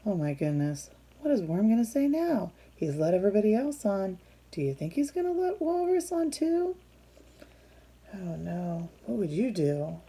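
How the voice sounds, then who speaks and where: A woman reading a picture book aloud to young children, expressive and teacherly, pausing to put questions to her listeners. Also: medium pitch, breathy edge.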